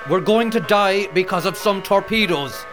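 An actor playing a grumpy, incredulous Irish ship's chief in a comedy audio drama. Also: male voice, high voice, normal speed, over music, lightly acted. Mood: indignant.

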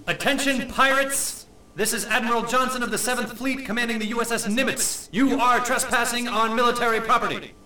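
An actor playing a brusque, officious American admiral in a comedy audio drama. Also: male voice, very high-pitched, steady tone, brisk, pushed and bright, recorded from a distance, full character voice, rough recording.

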